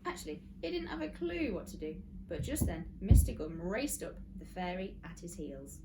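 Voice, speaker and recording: Animated woman reading a children's story. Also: lowish voice, normal speed, heavy background noise. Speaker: teacher reading aloud. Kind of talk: expressive children's story narration.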